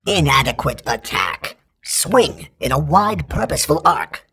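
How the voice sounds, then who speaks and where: A synthetic training droid for a video game. It sounds very husky and heavily gravelled, with a robotic filter. It issues formal, deadpan instructions and announcements, yet its pitch swings noticeably. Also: unhurried, heavily acted. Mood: stern, procedural. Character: clipped, emotionless robotic training droid giving combat drills. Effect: robotic voice processing. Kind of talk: scripted video game voice lines.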